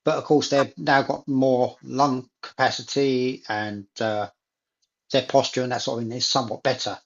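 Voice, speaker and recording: A man's voice: medium pitch, level tone, moderate pace, dull audio. A man in a casual chat, talking enthusiastically and repeating phrases for emphasis.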